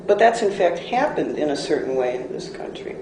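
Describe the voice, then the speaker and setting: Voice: mid-range voice, sing-song, brisk, darkish tone, a little hiss or hum. Thoughtful speaker in an echoey room. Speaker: interviewee. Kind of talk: documentary interview. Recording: echoey room with faint ambience.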